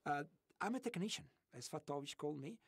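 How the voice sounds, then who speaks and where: A man's voice: a little high, expressive, fast, husky, dry recording, studio quality. A man from Italy with an Italian accent, a guest on an interview show, talking enthusiastically and digressively, often breaking into laughter. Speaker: guest, remote sensing archaeology expert. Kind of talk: interview answers.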